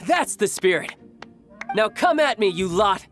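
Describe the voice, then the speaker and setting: A man's voice: high-pitched, sing-song, unhurried, a bit bright, exaggerated voice. Game role: cocky young male fighter, older-brother type, teasing, very varied melody, music underneath. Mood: cocky, commanding. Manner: breezy, teasing, confident. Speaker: voice actor. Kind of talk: acted video game dialogue.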